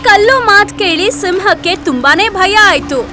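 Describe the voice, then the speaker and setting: A woman reads a children's story as narrator. Her voice is strikingly high and bright, with music playing behind it. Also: lively intonation, a little slow.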